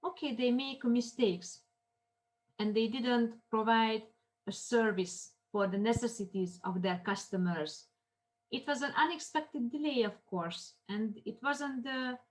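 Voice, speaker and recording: A woman from Armenia giving a classroom lecture in halting English, with many fillers and restarts as she walks through marketing case studies. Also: on the high side, poor audio.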